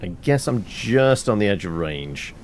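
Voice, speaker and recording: A man commentating his gameplay, excitable, swearing and laughing at his mishaps. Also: on the low side, a little slow, soft background music. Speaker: let's play host.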